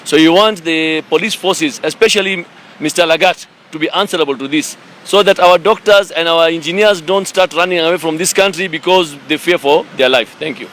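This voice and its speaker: A man's voice: on the high side, a little fast, a bit bright. A man giving a hesitant statement full of questioning appeals. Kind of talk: street statement.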